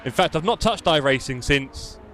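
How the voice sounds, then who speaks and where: Man, London accent, streamer, jokey banter, fairly high voice, racing game engines roaring behind. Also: animated, moderate pace, noisy background. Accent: London English. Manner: chatty, jokey, reads chat aloud. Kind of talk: live stream chat while gaming.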